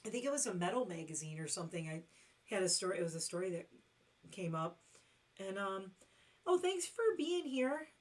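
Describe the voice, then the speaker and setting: A middle-aged woman hosting a long casual livestream, hoarse and losing her voice, rambling with lots of fillers. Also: mid-range voice, sing-song, brisk, long pauses. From Detroit. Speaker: livestream host.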